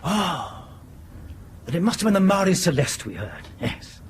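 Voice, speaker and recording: An actor playing a courteous but exasperated airline captain in a radio drama, clipped and dryly sarcastic. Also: male voice, high-pitched, wildly swooping pitch, unhurried, a little hiss or hum, heavily acted. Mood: indignant, sarcastic.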